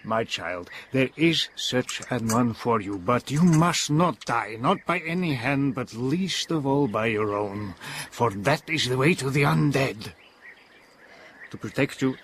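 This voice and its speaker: A man's voice: medium pitch, fairly bright tone, slight background noise. An actor playing a solemn, fatherly professor who hunts vampires in a gothic audio drama, speaking in stilted phrases.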